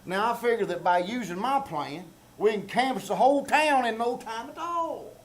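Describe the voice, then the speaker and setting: Man as a high-voiced, self-important country fellow. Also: lively intonation, normal speed. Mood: officious. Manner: pompous, rustic malapropisms, exasperated. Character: self-important, exasperated small-town country organizer. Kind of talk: live old-time radio comedy reenactment. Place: small-town theater stage, live performance. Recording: live theater stage, faint noise.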